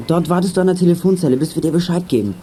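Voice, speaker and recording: A man's voice: high voice, racing, mellow, a little hiss or hum, lightly acted. A young male actor playing a level-headed boy detective who gives his gang matter-of-fact instructions in a children's radio play. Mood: focused, decisive.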